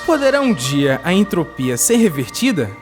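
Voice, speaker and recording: Actor as animated, concerned Brazilian space official. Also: male voice, high voice, moderate pace, over music. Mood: concerned, thoughtful. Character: thoughtful, concerned male far-future space official, two centuries old. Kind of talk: science fiction audio drama acting.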